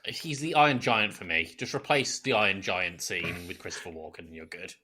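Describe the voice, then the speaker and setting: A man's voice: deep voice, fairly quick, pushed and bright, slightly rough. A man co-hosting a podcast in casual comedic chat, joking and sarcastic. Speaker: podcast co-host.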